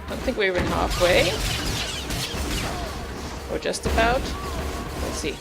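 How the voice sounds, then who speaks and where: A woman giving casual, low-key commentary on her own gameplay, musing drily as she goes. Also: animated, unhurried, creaky. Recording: loud game music behind the voice. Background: game music.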